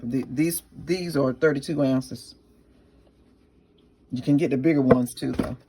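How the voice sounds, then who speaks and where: A woman with a raspy voice, chatting casually to her viewers in a home kitchen cooking vlog. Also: rumbling low, measured pace, background noise. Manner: chatty, talks straight to viewers. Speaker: cooking vlogger.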